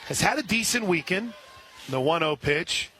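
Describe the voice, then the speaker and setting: A man calling live softball play-by-play as a radio announcer, reading sponsor lines between pitches. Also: expressive, moderate pace, background noise. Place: outdoor ballpark during a live game. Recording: loud outdoor stadium ambience. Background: loud stadium ambience.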